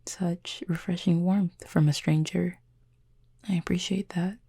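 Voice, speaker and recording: A woman playing an injured fallen cupid in an intimate roleplay audio, soft and breathy, with a formal, measured, dryly aloof but curious manner. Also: on the low side, fairly even intonation, exaggerated voice. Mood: wry, reserved. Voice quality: soft, breathy, husky with fry. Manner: measured, formal, wordy phrasing.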